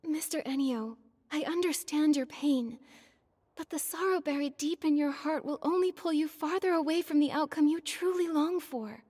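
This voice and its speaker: An actress playing a calm, compassionate young heroine in a game cutscene, speaking gently and reasonably. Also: female voice, high voice, moderate pace, in a large hall, natural voice. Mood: earnest.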